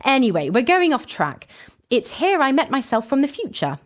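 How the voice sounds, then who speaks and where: A woman's voice: high voice, very animated, moderate pace. A woman playing a breezy, wry time-travelling companion, narrating an audio drama recap with casual, chatty asides.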